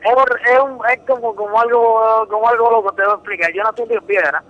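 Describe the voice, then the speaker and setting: A man's voice: high voice, steady tone, fast talker, muffled recording. A young Puerto Rican man speaking Spanish with a Puerto Rican accent, a boxing champion answering a radio interview in an earnest, emphatic way, often repeating words. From Puerto Rico.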